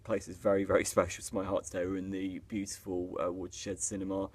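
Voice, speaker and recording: Young film vlog host, low voice, chatty, filler-heavy. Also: male voice, completely flat delivery, brisk, husky. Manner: chatty, laughs often, many fillers. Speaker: YouTube film review host. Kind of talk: casual film review chat.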